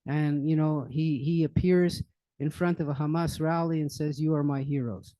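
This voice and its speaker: An older man speaks in a loose, rambling lecture manner, full of fillers and restarts. His voice sits a little high and sounds mellow. The sound is dry and close. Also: steady tone, moderate pace, no echo. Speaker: lecturer on current events. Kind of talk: informal public library talk. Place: library meeting room.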